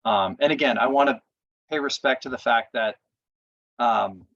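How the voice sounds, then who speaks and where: A man's voice: medium pitch, normal speed, fairly bright tone. Colloquial man, dull video-call sound. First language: English. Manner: encouraging, colloquial. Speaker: competition judge. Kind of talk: informal spoken feedback. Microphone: video call microphone.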